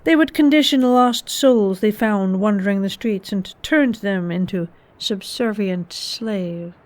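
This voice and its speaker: An actress voices an ominous female innkeeper in a horror radio play. She speaks formally, with knowing poise, at an average pitch for a woman. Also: a little slow, darkish tone, natural voice. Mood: knowing, sinister. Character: poised, ominous mansion hostess, the host's wife. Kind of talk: horror audio drama acting.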